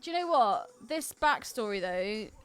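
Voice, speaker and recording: A woman's voice: on the high side, lively intonation, moderate pace, smooth. A woman in casual film podcast banter, playful and frank. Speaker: podcast co-host. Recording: music playing behind the talk. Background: film soundtrack music.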